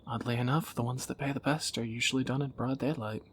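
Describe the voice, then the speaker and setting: A man's voice: medium pitch, fairly even intonation, quick pace, slight background noise, close to an everyday voice. Man acting a wry, devoted thief, crickets behind. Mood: wry, musing. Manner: teasing, intimate, often dropping to a whisper. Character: tender young thief, wry and devoted, lover of an assassin. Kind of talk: romantic audio roleplay, one side of a dialogue.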